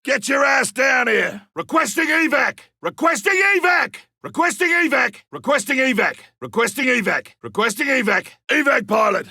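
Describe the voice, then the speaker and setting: A battle-hardened lone monster hunter from a video game, with a raspy voice pitched on the high side. He is blunt and wry, very sharp and forceful in tone, and swings widely in pitch. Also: very animated, moderate pace, few pauses, no room sound, studio quality, exaggerated voice. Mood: urgent, shouting. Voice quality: gravelly, raspy. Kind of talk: video game combat callouts.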